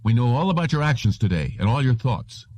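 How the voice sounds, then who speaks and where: A man voicing a calm, menacing policeman of the future in an audiobook, measured and smug. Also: medium pitch, no room sound, pitched above the performer's own voice, lightly acted. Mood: coolly menacing.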